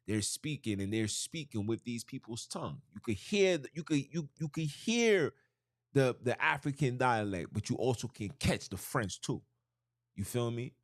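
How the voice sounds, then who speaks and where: A man's voice: on the low side, dry recording, studio quality. A man hosting a podcast, talking casually and loosely about scripture and language, with slang and lots of "you know what I'm saying". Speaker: podcast host. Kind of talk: casual religious talk.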